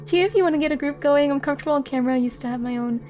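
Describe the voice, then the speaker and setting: A giggly, expressive woman hosting a casual livestream, chatting with viewers and reading a story aloud. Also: sits high, very fast. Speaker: livestream host. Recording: music playing behind the voice. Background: background music.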